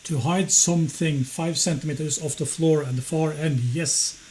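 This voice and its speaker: A man's voice: on the high side, steady tone, moderate pace, darkish tone, a little hiss or hum. A man with a Norwegian accent, a native Norwegian speaker, arguing heatedly in an online debate. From Norway. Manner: argumentative, evasive.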